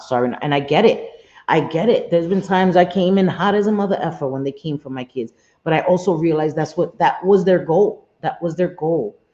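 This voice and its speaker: A woman with a husky smoker's voice hosting a live stream, talking bluntly and earnestly, often repeating herself, with some salty language. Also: sits low, mellow. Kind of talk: candid livestream chat, reading chat comments. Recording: muffled roomy home stream audio.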